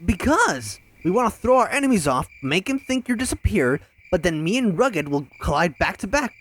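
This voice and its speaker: A man playing an eager, cocky, youthful cartoon hedgehog hero in a fan audio drama, chatty and excitable. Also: sits high, moderate pace, exaggerated voice. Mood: upbeat, explaining.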